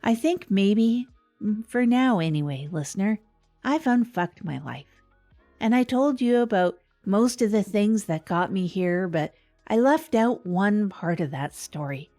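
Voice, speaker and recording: A woman's voice: mid-range voice, a little mellow, close to an everyday voice. A woman playing a wry small-town radio host, telling a comic monologue with hesitant, self-deprecating asides. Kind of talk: scripted comedic radio monologue.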